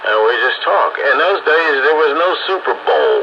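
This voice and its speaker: A man's voice: a little high, huge pitch swings, normal speed. An older American man reminiscing casually and in a rambling way, heard over a two-way radio transmission. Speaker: radio hobbyist. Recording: noisy, dull lo-fi radio transmission.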